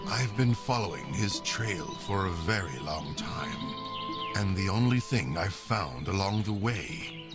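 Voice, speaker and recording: A brooding sage sorcerer narrating his hunt in a fantasy game. His low voice moves with deliberate slowness yet rises and falls widely. Music plays behind his solemn words. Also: expressive. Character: brooding male sage sorcerer hunting a time wrecker. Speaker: narrating protagonist. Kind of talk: scripted game monologue.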